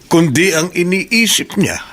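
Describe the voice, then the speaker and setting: An actor in a Tagalog radio drama playing a concerned, fatherly older man who gives gentle counsel. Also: high-pitched, sing-song, unhurried, heavily acted. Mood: concerned, counselling.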